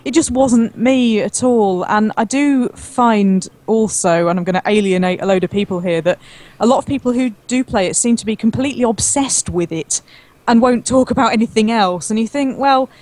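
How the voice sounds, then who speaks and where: A woman's voice: fairly high pitch, a little mellow. A woman speaking as a guest on a gaming show, chatting casually and frankly about her opinions. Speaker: guest, gaming video creator. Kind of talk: casual chat.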